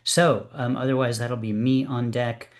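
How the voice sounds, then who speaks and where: A man's voice: medium pitch, steady tone, measured pace. A man hosting an online poetry reading, speaking with many fillers and restarts. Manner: warm, hesitant, many fillers. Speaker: host. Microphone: video call.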